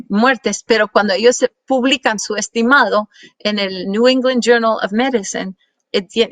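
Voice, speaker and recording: Woman speaker giving a slide talk, fairly high voice, dry close sound, halting with fillers. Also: fairly bright tone, no room sound. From Colombian parents. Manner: many fillers and restarts, mixing in English words. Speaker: guest speaker. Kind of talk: presentation to an audience with slides.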